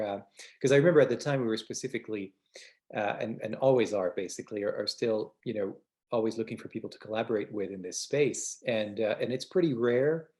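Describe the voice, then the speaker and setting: Guy cohosting a podcast, mid pitched voice, chatty interview style, kinda halting and circles back while hunting for words. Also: a little mellow. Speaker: podcast co-host.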